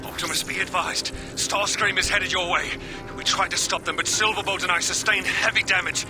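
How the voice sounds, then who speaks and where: An actor playing a loyal robot airborne soldier in a video game, giving crisp military reports. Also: male voice, high voice, fairly even intonation, brisk, over music. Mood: urgent.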